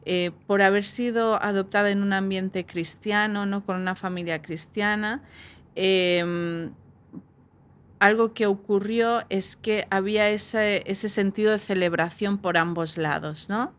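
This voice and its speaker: A woman's voice: medium pitch, fairly even intonation, a little slow, a bit bright, clear, resonant tone. A woman guest recounting her own experience in a thoughtful, conversational way, often ending points with tag questions. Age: adult. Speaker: guest, adoptee. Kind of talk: personal testimony in conversation.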